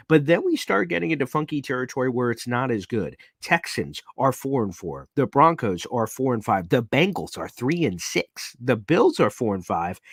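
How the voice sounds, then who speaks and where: A man hosting a live sports talk show, walking through standings with growing excitement. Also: medium pitch, dry recording, studio quality. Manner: excitable, hyped breakdown of standings.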